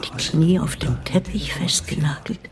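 An older woman playing the ghost of a nanny in a radio drama, breathy and often half-whispering, measured and intimate, tender yet bitter. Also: low-pitched. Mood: tender, haunted. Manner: measured, hushed, intimate delivery. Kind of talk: literary radio drama monologue. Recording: music bed behind the voice.

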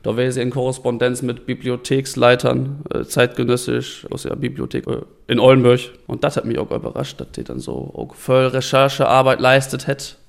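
A man's voice: medium pitch, normal speed, creaky, hardly any pauses. A man with a Northern German accent giving a spontaneous interview account as a researcher. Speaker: university project researcher.

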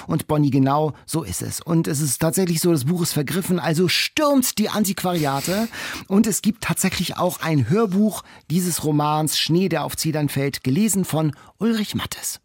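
A man with a fairly high, slightly rough voice chats as a book podcast host. His delivery is casual, keen and emphatic, and the sound is dry and close. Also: expressive, moderate pace, no echo.

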